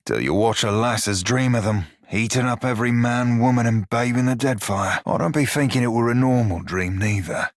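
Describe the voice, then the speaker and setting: A man playing a roguish, good-hearted creature in a video game, talking in salty dialect with a rough, husky voice. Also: medium pitch, moderate pace, hardly any pauses, no echo, studio quality, close to an everyday voice. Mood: uneasy, sincere. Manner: salty pirate dialect, colloquial. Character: roguish blue-furred psychic pirate, flirty, salty, good-hearted. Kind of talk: video game character dialogue.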